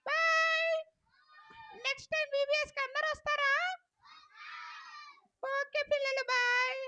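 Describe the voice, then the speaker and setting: A little child puppet in a children's show. The voice is extremely high, squeaky and sharp. It is chatty, cheeky and eager, with polite, childlike pleading. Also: steady tone, measured pace, exaggerated voice. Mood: excited farewell. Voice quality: squeaky cartoon voice.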